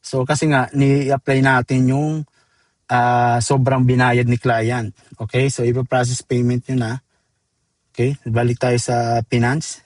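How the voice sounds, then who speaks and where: A Filipino man presenting a software tutorial step by step in an informal, chatty way, mixing in English terms. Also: medium pitch, level tone, unhurried, dry recording. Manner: informal, addresses viewers as guys, code-switches into English terms. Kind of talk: casual screen-demo tutorial, step-by-step.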